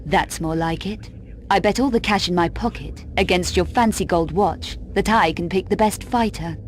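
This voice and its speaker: A woman's voice: fairly low pitch, normal speed, a little hiss or hum, lightly acted. Woman acting a sardonic, tough adventurer heroine. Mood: taunting, cool. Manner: sardonic, curt, defiant. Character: brash, cool adventurer heroine, tough and sarcastic. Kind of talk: video game cut-scene acting.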